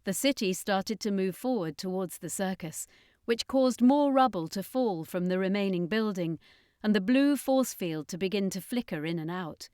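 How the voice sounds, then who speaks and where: A woman's voice: medium pitch, expressive, normal speed, darkish tone, clear, resonant tone, no room sound, studio quality. A woman reading an adventure story as narrator, in a dramatic scripted storytelling style.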